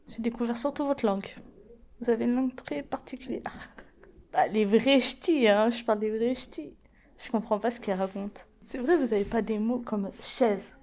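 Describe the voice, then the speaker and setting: A woman's voice: a little high, normal speed, a little mellow. A woman in her twenties from the Paris region, answering interview questions in a plain, hesitant way with many fillers. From Paris region, France. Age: 20s. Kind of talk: documentary interview testimony.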